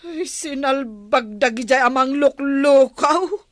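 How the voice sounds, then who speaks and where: A gullible, exasperated wife with an Ilocano accent in a radio comedy. Her voice is fairly high and unhurried, whiny and full of exclamations, recorded dry and close. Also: no room sound. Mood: upset. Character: barangay captain's wife, gullible online shopper, exasperated. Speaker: radio drama actress.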